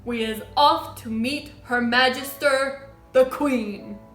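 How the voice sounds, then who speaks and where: A woman voicing a big, friendly, excitable male giant from a children's storybook, with muddled grammar and made-up words. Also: fairly high pitch, unhurried. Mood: delighted. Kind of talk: children's storybook character reading. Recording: roomy echo, music behind.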